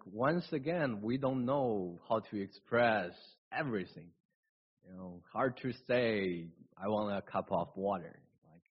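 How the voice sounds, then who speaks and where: A man with a Chinese accent, whose first language is Chinese, reading scripture and preaching a sermon in a halting way, with restarts and slips. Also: on the low side, husky. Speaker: pastor. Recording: dull lo-fi recording.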